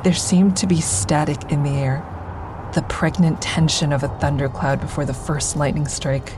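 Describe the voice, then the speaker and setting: An actress playing a traumatized woman survivor in an audio drama, recounting her ordeal in a measured, deliberate way. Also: deep voice, steady tone, noisy background, lightly acted. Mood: somber, haunted. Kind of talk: full-cast audio drama monologue.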